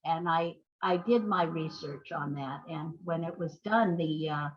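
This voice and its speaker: An older American woman speaking as an interview guest in a thoughtful, measured, articulate way. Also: sits low, breathy edge. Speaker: guest, playwright. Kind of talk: reflective interview conversation. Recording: dull, lo-fi sound.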